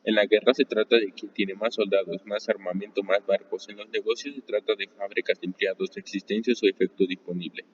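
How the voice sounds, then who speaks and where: Man, live book reader, measured delivery; distant mic, long reverb, dull lo-fi sound. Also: on the low side, fairly even intonation, slightly rough. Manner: measured reading with occasional restarts. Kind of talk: live reading aloud of a nonfiction book.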